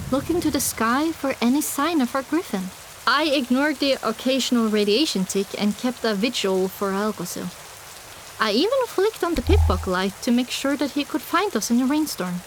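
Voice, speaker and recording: A woman narrating a first-person fantasy audiobook in an expressive, dramatic storytelling manner. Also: a little high, moderate pace, slight background noise, low-quality recording.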